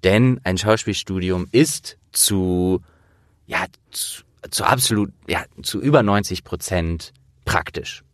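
A man's voice: sits low, slow, dry recording, clean studio sound. A man hosting a casual interview, talking informally and explaining things as he thinks aloud. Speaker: interviewer and host.

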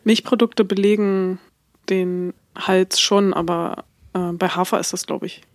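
A woman co-hosting a podcast in relaxed, easygoing casual chat, laughing often. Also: on the low side, a little slow, no echo, clean studio sound. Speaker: podcast co-host. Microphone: remote recording, separate from co-host.

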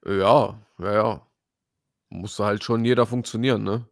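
A man's voice: on the low side, steady tone, unhurried, dry recording, studio quality. A man in a casual chat about video games, colloquial and mixing in English gaming slang as a co-host.

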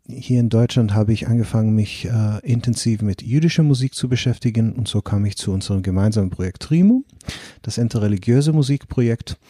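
A man from Israel, a guitarist and composer, speaking German as a guest, with many pauses and fillers as he searches for words. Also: lowish voice, mellow, dry recording, clean studio sound. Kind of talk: casual interview talk. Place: sitting across from the host.

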